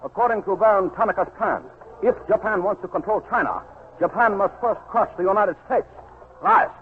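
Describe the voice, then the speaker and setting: A man's voice: high-pitched, animated, moderate pace. A man playing a blunt, suspicious Japanese police sergeant with stilted English in a 1940s radio drama. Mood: accusing. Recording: noisy, tinny 1940s radio broadcast.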